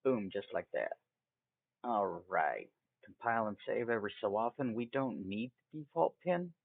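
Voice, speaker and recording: A man giving a casual step-by-step software tutorial, folksy and thinking aloud as he goes. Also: lowish voice, very animated, moderate pace, gravelly, slightly rough, dry recording. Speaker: tutorial host. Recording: tinny, phone-like narrow band.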